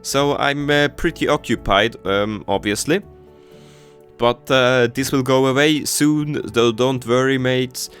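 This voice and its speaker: A man's voice: mid-range voice, slow pace, fairly bright tone, smooth, soft background music. A young man whose first language is German, chatting casually over his city-building game with many fillers and restarts. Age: teens. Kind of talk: casual gameplay commentary.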